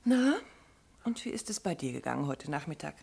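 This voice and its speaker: An actress playing a detective's chatty, observant and affectionate wife in a 1950s radio play, confiding and animated in her storytelling. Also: deep voice, fairly quick, gravelly, slightly rough, exaggerated voice. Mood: curious.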